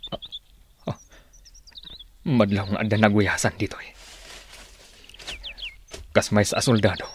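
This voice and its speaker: A man's voice: on the low side, a little hiss or hum. A man playing a wary armed tracker thinking aloud in a Philippine radio drama. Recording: bird chirps and outdoor sound effects.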